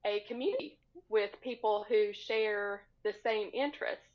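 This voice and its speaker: A woman's voice: medium pitch, normal speed. Earnest woman guest on dull call audio. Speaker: podcast guest, sewing hobbyist. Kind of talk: casual chat. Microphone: video call.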